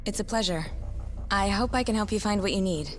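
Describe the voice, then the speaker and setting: An actress in an English game dub playing a polite, reserved young medical professional who explains things in a professional manner. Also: female voice, medium pitch, fairly quick, soft background music, natural voice.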